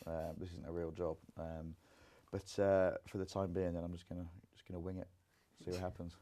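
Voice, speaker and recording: A man's voice: sits low, level tone, a little fast, dark tone. A young man from Grimsby, a comedian and singer, chatting casually in an interview with lots of fillers. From Grimsby, England. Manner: rambling, many fillers.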